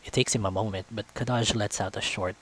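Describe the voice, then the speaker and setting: Guy with a lowish voice narrating a visual novel, reading it out like a story. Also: fairly even intonation, brisk, a bit bright, slight background noise, poor audio. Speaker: visual novel narrator, let's-play reader. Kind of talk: storytelling narration read aloud.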